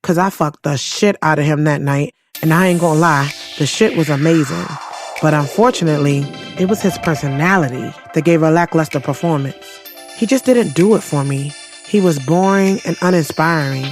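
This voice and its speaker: A woman's voice: sits low, moderate pace, faint music behind, lightly acted. A woman playing a sassy young writer, telling her life story frankly and conversationally in an audio drama, with some cursing. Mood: exasperated, frank. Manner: frank, profane, confiding.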